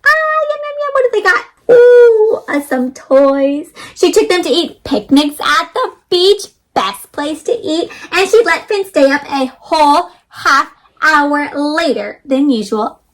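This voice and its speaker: A woman hosting an interactive read-aloud for young children, speaking expressively and putting questions to her listeners. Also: high voice. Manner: animated, expressive, asks listeners questions with pauses.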